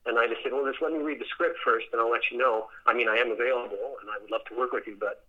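A man speaking as a theatre director in a conversational interview, reflective and halting, often repeating words as he thinks. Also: medium pitch, steady tone, narrow, tinny audio.

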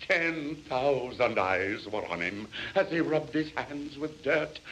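A man recites verse in a theatrical, declamatory way, with a bright, forceful voice that swoops widely in pitch. He takes his time and leans on key words for drama, over some faint noise. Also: rough recording.

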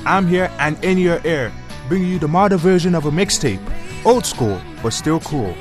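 A man's voice: fairly high pitch, expressive, normal speed. A man reading a scripted promo as a radio DJ. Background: music bed.

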